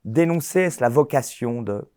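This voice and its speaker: A man hosting a film festival interview, speaking formally and haltingly, with many pauses, fillers and restarts. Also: medium pitch, lively intonation, warm tone, no echo, clean studio sound.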